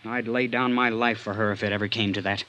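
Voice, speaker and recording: A man's voice: fairly low pitch, steady tone, quick pace, sharp tone. An actor playing a defensive, lovestruck man, earnest and insistent, in a vintage radio drama. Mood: insistent, devoted. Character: defensive, lovestruck man, hot-headed and loyal. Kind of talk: 1950s radio drama acting.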